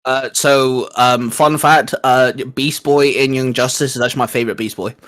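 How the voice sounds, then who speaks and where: A man co-hosting a geek show, chatting casually like a fan in a slangy, animated storytelling way. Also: mid-range voice, normal speed. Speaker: co-host of a geek culture show.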